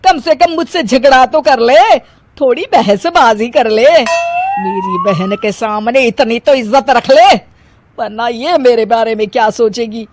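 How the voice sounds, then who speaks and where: A woman playing a middle-aged, talkative Punjabi mother-in-law who scolds and complains theatrically in an animated story. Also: fairly high pitch, measured pace, noisy background. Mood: nagging. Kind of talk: animated Hindi story dialogue.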